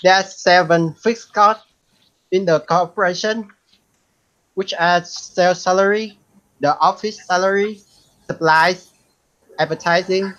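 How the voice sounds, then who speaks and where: A man's voice: high voice, fairly bright tone. A man from Vietnam giving a class presentation, reading his part steadily. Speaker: student presenter. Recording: dull lo-fi sound.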